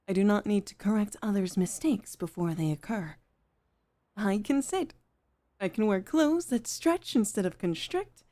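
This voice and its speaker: A woman playing a poised, gentle head maid off duty in an intimate ASMR roleplay, speaking with formal, measured politeness. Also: mid-range voice, close to an everyday voice.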